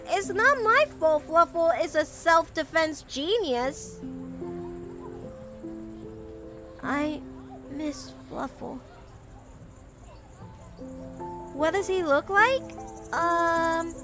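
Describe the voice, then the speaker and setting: Sweet innocent little girl, really high and swoopy, hesitant, music behind. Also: fairly bright tone, full character voice. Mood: wistful. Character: sweet, earnest, anxious little girl in fantasy world. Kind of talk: fantasy audio roleplay acting.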